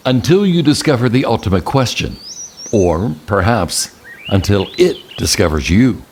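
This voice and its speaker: An actor playing an older male mentor and army general in an audio drama, speaking in a measured, patient, enigmatic way. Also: lowish voice, big pitch swings, a little mellow, slight background noise. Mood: grave, instructive.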